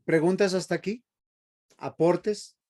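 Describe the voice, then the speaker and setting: A man teaches a Bible class in a classroom, his voice sitting a little high for a man. He lectures in a preachy tone and keeps asking the students if they are following. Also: steady tone, slow, warm tone. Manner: preachy, asks the class questions.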